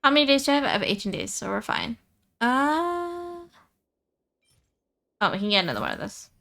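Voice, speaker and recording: Chatty gamer woman on stream, highish voice, really bright, repeats herself to make a point. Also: very animated, normal speed, creaky. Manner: chatty, repeats phrases for emphasis. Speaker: live streamer. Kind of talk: casual gaming stream chat.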